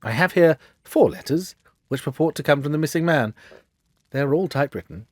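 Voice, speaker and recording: A man's voice: a little high, expressive, a little fast, dry recording, pitched above the performer's own voice. Male reader as suave storybook detective, shrewd and precise, theatrical swoops, very dry sound. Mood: lecturing.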